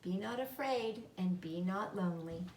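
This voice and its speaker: A woman voicing a calm, motherly female spider storyteller who speaks in a measured, encouraging way. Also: medium pitch, a little hiss or hum, close to an everyday voice. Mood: gentle lullaby. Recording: roomy, echoing, distant microphone.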